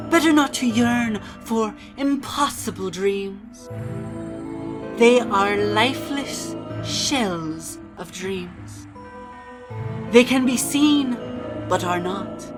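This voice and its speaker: A woman playing a coldly mocking, vengeful masked villain in a dramatic video game monologue reading. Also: fairly high pitch. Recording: music behind the voice.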